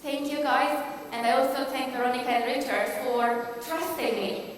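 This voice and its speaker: A woman's voice: high-pitched, normal speed, slight background noise. Woman, 40s, Romanian accent, emphatic speaker quizzing the crowd, recorded far off in a reverberant hall. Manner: emphatic, rallying the audience with questions. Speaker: business speaker. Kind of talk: motivational stage presentation. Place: large conference hall.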